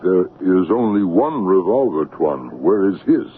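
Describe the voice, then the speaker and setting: An actor playing a composed, deferential Congolese servant in an old radio drama, speaking in a measured, grave, respectful way. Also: male voice, low-pitched, very animated. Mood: solemn, conspiratorial. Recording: band-limited tinny vintage radio broadcast, faint noise.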